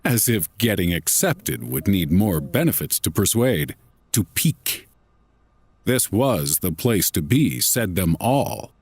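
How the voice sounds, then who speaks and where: A man reading a scripted horror story in a deliberate, dramatic, literary manner. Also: low voice, very animated, a little mellow, breathy edge. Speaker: narrator.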